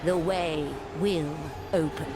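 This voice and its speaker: Slow, menacing cultist. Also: female voice, low-pitched, fairly even intonation, very noisy. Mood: reverent, sinister. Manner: theatrical, menacing, drawn-out. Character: fanatical, menacing female demon cultist. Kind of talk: dramatic video game voice acting.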